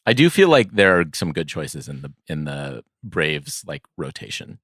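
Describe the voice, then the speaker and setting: A man's voice: rumbling low, big pitch swings, normal speed, a bit bright, no echo, studio quality. A man co-hosting a podcast in casual chat, musing and agreeable, a little hesitant. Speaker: podcast co-host.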